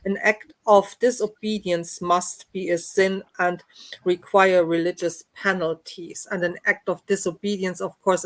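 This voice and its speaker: A woman talking casually in a book discussion, often ending statements with a questioning tag. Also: on the low side.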